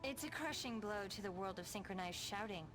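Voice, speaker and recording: A woman's voice: mid-range voice, lively intonation, brisk, noisy background, close to an everyday voice. A woman playing a vain, bossy teenage cheerleader with an American accent in a video game, peppy and sarcastic. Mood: bossy, exasperated. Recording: music over the voice.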